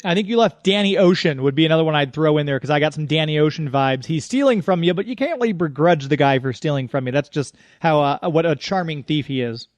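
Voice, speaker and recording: A man's voice: on the high side, animated, fast talker, few pauses, no echo. A man hosting a radio show, chatting conversationally with a guest and addressing his listeners.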